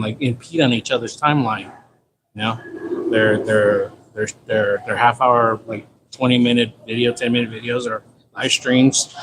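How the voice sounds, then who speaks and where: Californian man rambling over clear background noise. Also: medium pitch. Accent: Californian American. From San Diego, California, United States. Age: 50s. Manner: repeats himself, loose slangy storytelling. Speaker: livestream host. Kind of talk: casual rambling livestream chat. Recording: clearly noisy ambient livestream audio.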